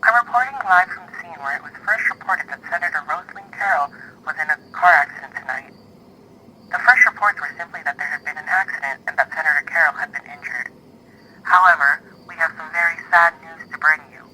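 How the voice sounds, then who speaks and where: An actor playing a solemn news reporter giving a grave, formal live report in an audio drama, heard as a noisy playback. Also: male voice, a little high, fairly quick, pushed and bright. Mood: grave, urgent. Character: solemn, urgent male TV news reporter on scene.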